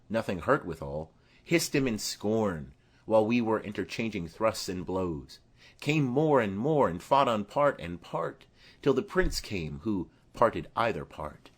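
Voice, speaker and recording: A man's voice: lowish voice, breathy edge, exaggerated voice. A man playing an earnest young nobleman and peacemaker, speaking formal Shakespearean lines. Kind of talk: Shakespearean audiobook acting.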